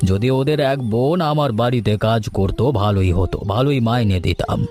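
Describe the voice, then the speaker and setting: A man plays a well-meaning village moneylender in a cartoon tale. He has an ordinary mid-range voice and talks in a measured, reasonable way, with music clearly behind him. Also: mid-range voice, a little mellow, natural voice. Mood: businesslike. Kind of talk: Bengali cartoon story dubbing.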